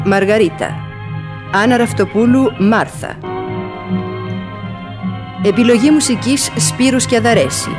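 A woman reading formal scripted credits as a radio announcer. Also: medium pitch. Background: music.

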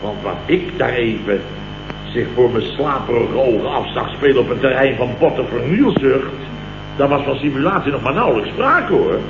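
An actor playing an exasperated, sarcastic office boss in a blustering manner, in old radio comedy style. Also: male voice, mid-range voice, expressive, moderate pace, lightly acted. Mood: sarcastic, indignant. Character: indignant, sarcastic male office boss telling his night's ordeal. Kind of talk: 1970s Dutch radio comedy acting. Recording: muffled, noisy, roomy old radio recording.

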